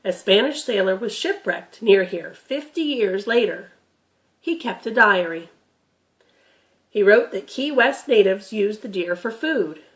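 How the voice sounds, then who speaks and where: A woman's voice: mid-range voice, animated, a little slow, lightly acted. A woman voicing a patient, knowledgeable grandfather who explains things emphatically in a children's storybook reading. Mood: patient, explanatory.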